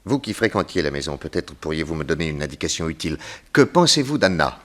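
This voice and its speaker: Shrewd detective, really low voice, lots of swing in it, dry and bossy, old radio serial. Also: male voice, sing-song, fast talker, a bit bright, exaggerated voice. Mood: probing. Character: shrewd, authoritative private detective, dryly ironic. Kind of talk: 1960s French radio detective drama acting.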